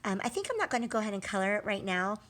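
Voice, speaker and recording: A woman teaching young children in a read-aloud lesson, asking questions, pausing and drawing out key words for emphasis. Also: medium pitch, very animated, fairly bright tone. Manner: prompts with questions, pauses, affirms answers, drawn-out emphasis on key words. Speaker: early literacy teacher.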